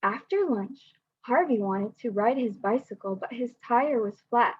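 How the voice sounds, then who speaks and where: A young woman student reads a children's picture book aloud over a video call. Her voice sits slightly high and breathy, and she fills her small talk with hesitant "um"s. The call audio is dull and lo-fi. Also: a little slow. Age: young adult. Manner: hesitant with fillers when talking freely. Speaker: student volunteer reader.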